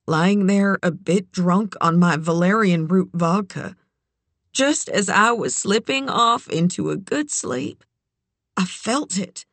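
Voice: mid-range voice, animated, takes it slowly, fairly bright tone, no echo, pitched above the performer's own voice, full character voice. A narrator voicing a grieving, dazed, folksy woman who keeps horses in an audiobook story. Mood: dazed, mournful.

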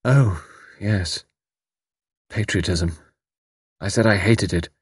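A man's voice: lowish voice, slow pace, husky, no echo, studio quality. A man voicing a fierce, bitter, impetuous young woman in an audiobook, with intense, emphatic delivery. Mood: subdued, abrupt. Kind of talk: audiobook character dialogue.

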